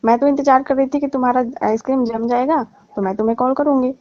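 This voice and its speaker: A woman's voice: high voice, steady tone, quick pace, a little mellow, no echo, lightly acted. A woman playing a flirtatious, needy married aunty in a staged phone-call skit, pleading and complaining suggestively. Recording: dull, lo-fi call recording.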